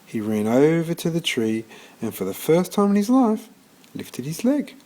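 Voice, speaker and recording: A man reading a children's story aloud in an expressive storytelling manner, occasionally stumbling and restarting. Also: a little high, normal speed. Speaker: storybook reader.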